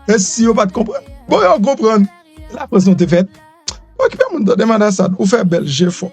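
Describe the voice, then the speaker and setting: A man with a Haitian accent giving lively, teasing news commentary, switching between Creole, French and English. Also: sits high, dry recording. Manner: lively, repetitive, teasing asides.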